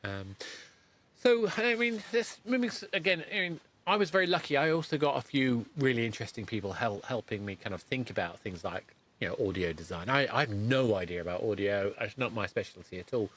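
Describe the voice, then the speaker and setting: A man's voice: mid-range voice, animated, a bit bright. A middle-aged man hosting a podcast interview in a conversational, rambling way, often breaking off and correcting himself. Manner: halting, rambling, self-correcting. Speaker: podcast host interviewing a guest.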